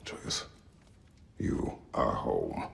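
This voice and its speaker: A man's voice: extremely slow, fairly bright tone, heavily acted. An actor playing a stern, weary warrior father in a video game, speaking in terse, grave, formal phrases. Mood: grave, protective. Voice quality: very deep, gravelly. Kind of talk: dramatic video game acting.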